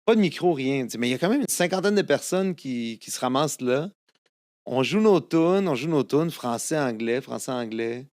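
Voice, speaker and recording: A man's voice: mid-range voice, animated, moderate pace, a little mellow, no room sound. Chatty Québécois man, dry close sound. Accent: Québécois French. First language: Italian. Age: 50s. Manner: chatty, many fillers and restarts. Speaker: guest singer-songwriter. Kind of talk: casual storytelling interview.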